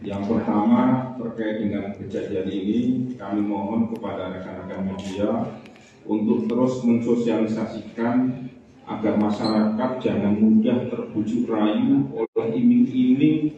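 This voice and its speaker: A man making a formal, measured statement as a police public relations chief. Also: on the low side, steady tone, warm tone. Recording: distant echoey room, muffled, faint noise.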